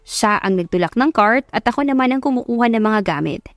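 A woman's voice: on the high side, animated, brisk, no echo. Woman narrating romance, very dry sound. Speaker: audiobook narrator. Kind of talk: scripted first-person romance narration.

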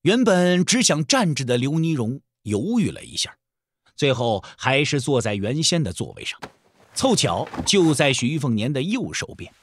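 A man's voice: fairly high pitch, dry recording, studio quality. A man narrating a martial-arts novel as a scripted audio drama, telling the story in the third person.

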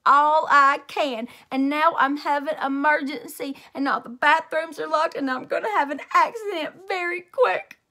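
A woman reading aloud and voicing a loud, cranky little schoolgirl in a panic, shouting and whining. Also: high-pitched, normal speed, hardly any pauses, voice pushed up. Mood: pleading. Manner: shouting, whiny and desperate.

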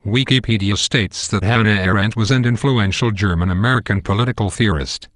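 A low-pitched male text-to-speech voice acts as an animated host. The scripted delivery is formal and explanatory, and the sound is dry. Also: brisk, no echo. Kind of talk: scripted presentation.

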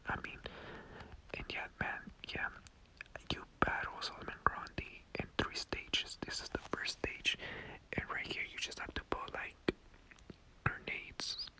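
Whispering ASMR gamer, breathy and bright. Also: poor audio. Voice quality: whispering, unvoiced. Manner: casual, rambling, repeats phrases. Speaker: gaming video host. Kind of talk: whispered ASMR game commentary. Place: voice-over recorded over gameplay. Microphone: close headphone mic.

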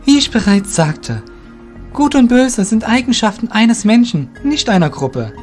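A man's voice: high-pitched, big pitch swings, unhurried, soft background music, pitched above the performer's own voice. A man playing an earnest, proud young rogue and magic novice in a fantasy audio drama, speaking in a firm, forthright way. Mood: firm, indignant. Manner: firm, friendly, principled, forthright.

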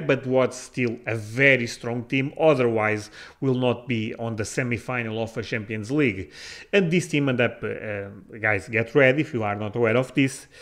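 Man talking halting English with fillers. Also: mid-range voice. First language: not English. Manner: halting, many fillers and restarts, emphatic repetitions. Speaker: soccer card channel host. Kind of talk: casual opinion monologue over slides. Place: voice-over to slides.

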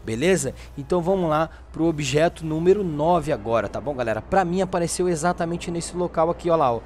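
A man's voice: fairly high pitch, a little fast, darkish tone, slight background noise. A Brazilian man giving casual game tutorial commentary, informal, often tagging sentences with little check-in questions. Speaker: gaming video host. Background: background music.

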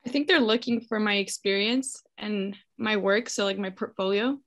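A young woman, a student volunteer, giving brief, soft-spoken answers on a video call. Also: mid-range voice, normal speed.

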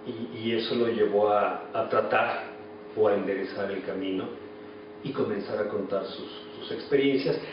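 A Mexican man in his forties giving reflective answers as an actor being interviewed. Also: fairly low pitch. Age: 40s. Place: small office indoors. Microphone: camera mic at a distance. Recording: echoey, distant, dull, noisy room.

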